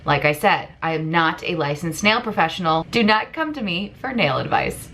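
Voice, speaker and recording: A woman's voice: lowish voice, animated, normal speed, fairly bright tone, slight background noise. A woman in her thirties chatting casually at home as a craft vlogger, joking and self-deprecating as she explains her work. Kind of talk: casual tutorial chat. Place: home room, indoors.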